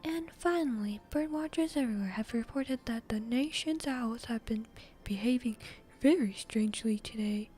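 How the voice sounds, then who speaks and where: A woman voicing a formal, quietly amused male TV newscaster reading a bulletin in a storybook. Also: fairly high pitch, normal speed, natural voice.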